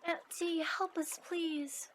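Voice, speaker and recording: A plucky little country girl, acted by a female performer, with a very high voice. She talks plainly and earnestly in a childlike, folksy way, as in a radio play. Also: steady tone, slow, a little hiss or hum. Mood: desperate. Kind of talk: radio drama acting.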